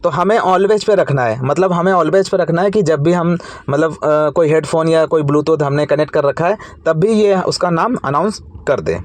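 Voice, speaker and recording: A man's voice: fairly high pitch, normal speed, few pauses, no echo. An Indian man hosting an informal tech tutorial, explaining each step in a repetitive way.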